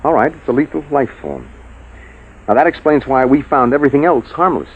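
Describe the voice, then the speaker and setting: An actor playing a resolute military scientist in an old-time radio space drama, urgent, in a tinny recording. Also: male voice, mid-range voice, big pitch swings, a little fast, husky. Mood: urgent, explaining.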